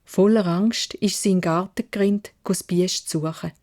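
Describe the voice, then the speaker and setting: A woman with a Swiss German accent narrating a children's fairy tale in a measured, expressive way. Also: fairly low pitch. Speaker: storyteller.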